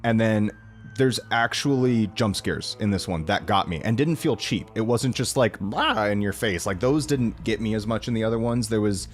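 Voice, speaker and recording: A young man with a fairly low voice talks casually to his viewers as a reaction video creator. He rambles informally and enthusiastically, with a self-deprecating streak, over clearly present background music. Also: normal speed. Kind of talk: casual rambling review to camera.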